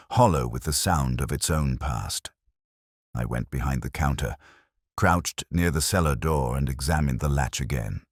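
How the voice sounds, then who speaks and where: Male actor for melancholy older detective narrator: extremely deep voice, expressive intonation, measured and brooding, scripted crime drama. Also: breathy edge, no echo, clean studio sound, heavily acted. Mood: grave, reflective. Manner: measured, literary, solemn. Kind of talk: scripted first-person detective story narration.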